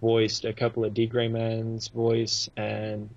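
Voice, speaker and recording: Husky man on a dull lo-fi call. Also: lowish voice, completely flat delivery, takes it slowly. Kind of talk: casual podcast chat.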